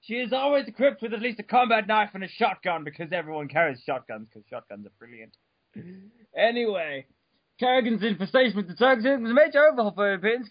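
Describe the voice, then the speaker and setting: A man giving a mock-dramatic reading of an article, over the top, bursting into shouts and stumbling over words. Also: high voice, no echo, lo-fi sound. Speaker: reader.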